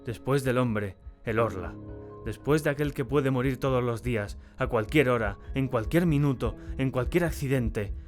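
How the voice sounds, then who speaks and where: Guy doing a haunted obsessed man, intense dramatic audiobook telling, medium pitch, some music behind. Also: normal speed, lightly acted. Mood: obsessive, despairing. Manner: intense, swinging from hushed dread to frantic cries. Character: tall, terrified, obsessed man confessing a desperate act. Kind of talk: dramatic first-person audiobook narration.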